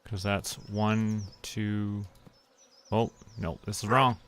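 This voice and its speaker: A young man talks slowly in a low, easygoing voice, murmuring numbers and small asides to himself as he plays. A faint hiss of background noise sits under his voice. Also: little pitch movement, fairly bright tone. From Canada. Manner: muttering, counting to himself while planning. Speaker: streamer. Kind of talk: relaxed gameplay commentary.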